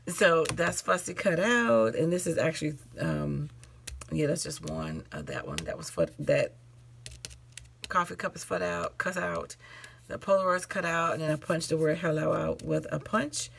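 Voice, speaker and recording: Low raspy-voiced woman chatting about crafts. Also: animated, a little slow, slight background noise. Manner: rambling, self-correcting, chatty with fillers. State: tired late at night. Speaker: crafting channel host. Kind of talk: casual show-and-tell crafting chat.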